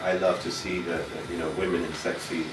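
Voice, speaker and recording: Low male voice, middle-aged, fashion designer, casual interview answers, halting and rambling, distant echoey recording with music. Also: little pitch movement. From Puerto Rico, raised in New York City. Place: designer's indoor studio with sewing machines. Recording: echoey room, off-mic, music behind.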